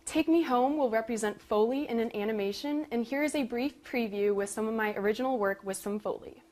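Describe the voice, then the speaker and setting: A woman's voice: fairly high pitch, a little fast, a little mellow. A young adult woman giving a live spoken presentation of her student music project.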